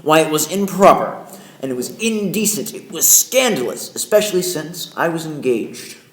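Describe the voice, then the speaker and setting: A man's voice: fairly high pitch, expressive, moderate pace, a bit bright. A man playing a flustered, indignant Yankee wizard, narrating an old-time radio play with theatrical, emphatic delivery. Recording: echoey room, music behind.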